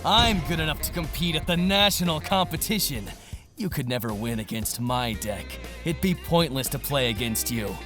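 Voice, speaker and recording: An actor playing an arrogant, scornful rich teenage card duelist with a condescending drawl. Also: male voice, medium pitch, expressive, normal speed, over music, close to an everyday voice. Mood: haughty, scornful. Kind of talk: audio manga dramatization.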